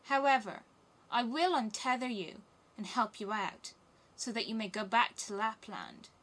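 A woman's voice: a little high, measured pace, a bit bright. A woman voicing a wild, teasing little robber girl in a storybook, bold and commanding. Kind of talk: storybook character voice.

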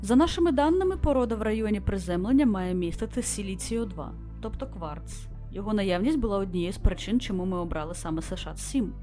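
Female starship captain read by a woman, formal and measured log entries for a visual novel, expressive pitch movement, faint music underneath. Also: close to an everyday voice. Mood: factual, concerned. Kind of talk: scripted narration read aloud from a visual novel.

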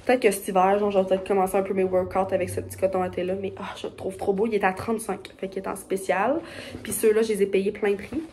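A woman's voice: medium pitch, level tone, fast, darkish tone. A young woman with a Québécois French accent chatting casually in an upbeat, rambling way for her vlog, filmed on a phone held at arm's length. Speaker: vlogger. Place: bedroom and car.

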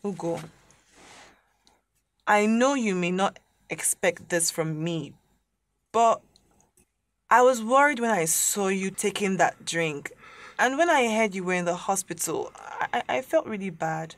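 Woman acting earnest, slow-spoken Nigerian young woman. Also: medium pitch, animated, dry recording, close to an everyday voice. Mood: tender, hesitant. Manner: emotive, insistent pleading. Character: earnest, pleading young woman declaring concern and love. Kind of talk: audio drama acting.

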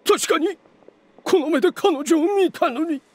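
A man's voice: very high-pitched, unhurried, darkish tone. An actor playing a bitter, vengeful male game villain who speaks in dramatic, faltering disbelief. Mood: shocked disbelief. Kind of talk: Japanese anime game voice acting.

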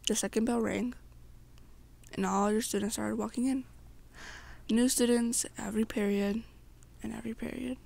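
Young woman storyteller with a creaky, high voice. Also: fairly even intonation, moderate pace, pauses often, darkish tone. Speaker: ASMR roleplay storyteller. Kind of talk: second-person ASMR fan-fiction storytelling.

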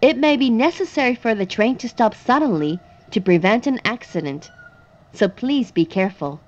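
A woman delivers a recorded train announcement at an extremely slow, measured pace. Her voice is fairly high and her articulation careful and formal. The sound is slightly dull. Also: lively intonation. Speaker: English-language train announcer.

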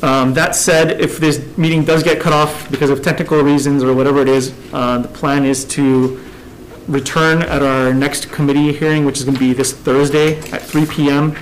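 A man's voice: on the high side, level tone, slight background noise, rough recording. A man, chairing a government committee hearing from a desk microphone in a hearing room. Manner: measured, businesslike, reading out agenda items. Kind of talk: formal hearing procedure.

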